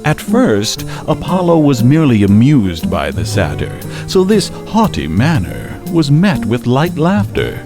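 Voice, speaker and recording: A man tells a comic tale as a theatrical radio narrator. His pitch is low yet very animated. He goes slowly and wryly, savoring the words, with plucked guitar music playing behind him. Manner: wry, theatrical, relishing words with deliberate pauses. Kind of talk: scripted comic storytelling narration in radio drama.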